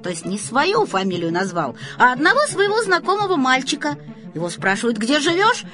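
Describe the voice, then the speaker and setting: A woman's voice: sits high, fairly bright tone, voice pushed up. A woman voicing a suspicious moustached man who tells things in a chatty, knowing way in a storybook reading. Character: suspicious moustached man telling a cautionary anecdote.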